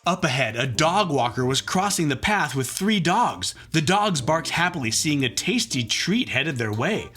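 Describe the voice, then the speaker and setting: A man's voice: a little high, big pitch swings, moderate pace, hardly any pauses, sharp tone, soft background music. A man reading a children's story aloud as a playful storytelling narrator. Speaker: comedian reading children's books. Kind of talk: animated storybook read-aloud.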